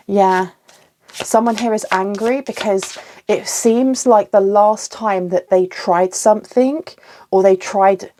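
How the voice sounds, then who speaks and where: A woman's voice: mid-range voice, mellow, slight background noise. Woman reading tarot, slightly sniffly. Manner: musing aloud, pausing to ask the cards for clarity. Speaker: tarot reader. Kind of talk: solo tarot reading to camera.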